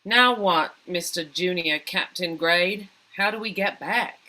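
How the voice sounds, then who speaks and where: A woman's voice: fairly low pitch, measured pace, bright, forceful tone. A woman voicing a scrappy mother rat in a comedy cartoon script, combative and sarcastic with folksy, slangy speech. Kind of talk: animated comedy table read.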